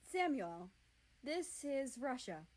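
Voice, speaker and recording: Woman reading a gentle, slow-spoken male healer. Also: high voice, very animated, pitched above the performer's own voice, heavily acted. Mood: friendly, explaining. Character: friendly, considerate male healer in a fantasy story.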